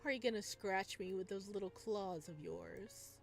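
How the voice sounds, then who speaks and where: A woman's voice: mid-range voice, normal speed, vocal fry, lightly acted. Girl doing a smug sadistic stalker guy from a visual novel, condescending and taunting, music behind. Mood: mocking. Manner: mocking, condescending. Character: sadistic taunting male stalker killer, chipper and mocking.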